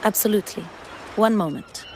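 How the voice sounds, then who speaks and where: A woman's voice: mid-range voice, brisk, mellow, a little hiss or hum, close to an everyday voice, rough recording. A woman playing a dutiful aide in a video game, reporting to her lady in a formal, businesslike and deferential way.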